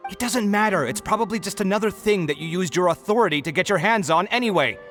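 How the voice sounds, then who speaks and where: A man's voice: sits high, expressive, quick pace, fairly bright tone, faint music behind. An actor playing a proud, easily riled young male architect in a video game, theatrical and exasperated. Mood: irritated, demanding.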